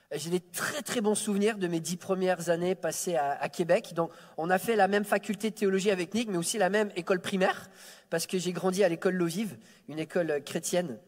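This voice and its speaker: A man, preaching a Sunday sermon as a guest, joking about himself and often repeating words as he restarts. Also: sits high. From France. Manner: warm self-deprecating humor, frequent stammered repeats. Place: church sanctuary. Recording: reverberant church hall.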